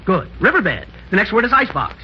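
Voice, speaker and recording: An actor playing an excitable, fussy psychiatrist in a 1940s radio comedy, theatrical and emphatic, on a muffled old recording. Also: male voice, sits high, fast talker, a little hiss or hum. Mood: eager, fussy. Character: excitable, fussy male psychiatrist, eager then exasperated. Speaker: supporting comic actor.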